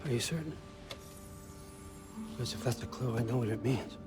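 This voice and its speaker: An actor playing a scheming old god and patriarch in a video game, talking in a casual, persuasive, wry way. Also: male voice, medium pitch, moderate pace, natural voice. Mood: coaxing. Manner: casual, persuasive, wryly conversational. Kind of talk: cinematic video game acting. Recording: loud music behind the voice. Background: music.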